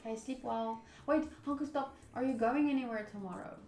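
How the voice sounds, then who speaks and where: A woman's voice: a little high, fairly quick, darkish tone. A woman streaming a visual novel, reading the text aloud and adding casual asides to viewers. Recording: roomy echo, faint game music behind. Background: faint game music.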